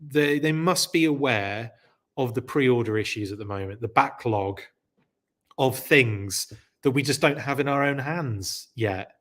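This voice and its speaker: Brief: male host, casual rambling live chat, slightly rough throat. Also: medium pitch, unhurried, fairly bright tone. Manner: chatty, rambling, self-correcting. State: scratchy throat. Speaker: podcast host. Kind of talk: live stream chat reading comments.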